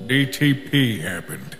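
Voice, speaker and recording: A man voicing an easygoing, laconic skeleton scientist in an animated comic dub. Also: mid-range voice, heavily acted. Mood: grim. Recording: long reverb, prominent music.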